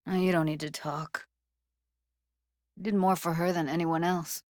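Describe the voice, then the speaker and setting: A woman's voice: lowish voice, level tone, unhurried, darkish tone, dry recording, studio quality, lightly acted. An actress voicing a tough, guarded young woman techie in a cyberpunk video game, speaking in casual, clipped slang with a wry edge. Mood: upset, tense. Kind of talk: naturalistic video game dialogue, isolated voice lines.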